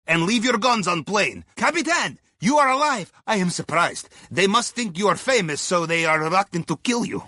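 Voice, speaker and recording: A man playing a wry Russian submarine navigator and heist advisor in a video game, with a Russian accent, dry dark humor and dropped articles. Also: sits high, animated, moderate pace, hardly any pauses, dry recording, full character voice. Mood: pleased, businesslike, then wry amusement. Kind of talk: video game mission dialogue.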